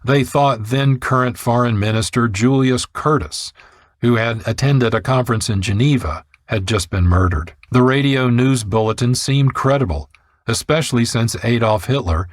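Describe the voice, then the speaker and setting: A man narrating a scripted radio documentary in a measured, formal way. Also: mid-range voice, no echo.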